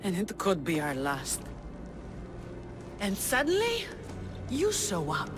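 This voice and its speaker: Commanding, expressive rebel woman. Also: medium pitch, takes it slowly. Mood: grim, embattled. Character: proud, weary female rebel leader of ancient Greece. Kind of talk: dramatic video game cutscene acting. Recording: reverberant hall, music behind.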